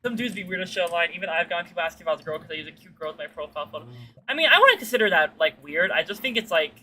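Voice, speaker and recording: A woman chatting casually as a streamer, telling stories in a slangy, sweary way and acting out what people said. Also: racing. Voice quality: fairly deep-sounding for a woman. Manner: slangy, sweary, animated retelling with acted-out quotes. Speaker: streamer chatting with viewers while gaming. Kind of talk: casual chat, rambling storytelling.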